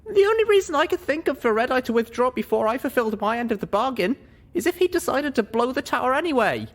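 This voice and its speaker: A man's voice: extremely high pitch, very animated, quick pace, voice pushed up, full character voice. A man voicing a small, anxious young pony heroine with a fretful, earnest manner in an audiobook story. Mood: worried, tense.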